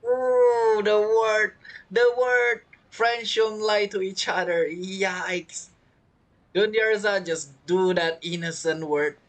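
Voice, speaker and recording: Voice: medium pitch, fairly even intonation, takes it slowly, fairly bright tone. A streamer giving casual, joking commentary over a game playthrough, full of teasing asides. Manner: teasing, joking asides, non-native phrasing. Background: video game music.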